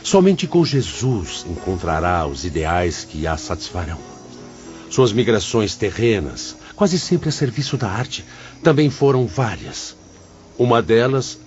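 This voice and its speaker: A man's voice: fairly low pitch, big pitch swings, measured pace, darkish tone. A man playing a grave, fatherly spirit mentor in an audiobook drama, speaking in a solemn, preacherly manner. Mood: explanatory. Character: grave, fatherly male spirit mentor instructing his pupils. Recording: dull lo-fi audio under music.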